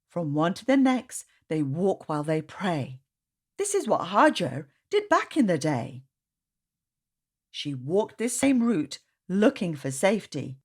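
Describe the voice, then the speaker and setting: A woman reading a rhyming children's picture book aloud in a measured storytelling manner. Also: mid-range voice.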